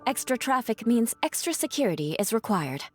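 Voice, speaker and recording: A woman's voice: on the high side, very animated, fairly quick, a little mellow, faint music behind. A woman playing a proud, composed young female knight captain in an anime game, formal and matter-of-fact with a slightly haughty edge. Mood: businesslike.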